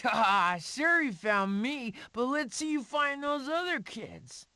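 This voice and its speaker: A woman voicing a boastful, lively young animal child in an animated prehistoric video game. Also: high voice, takes it slowly, a little hiss or hum, exaggerated voice.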